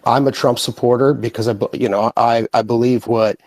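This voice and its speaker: A man with an American accent giving earnest opinions in a casual, halting way in an online group chat. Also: medium pitch, steady tone, a little mellow, no echo. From born in Russia, raised in the United States from age five. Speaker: guest speaker in online group audio chat.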